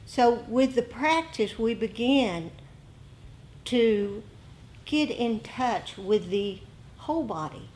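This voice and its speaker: A woman meditation teacher giving a dharma talk to a group, speaking deliberately and haltingly, with pauses between short phrases. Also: a little high, darkish tone.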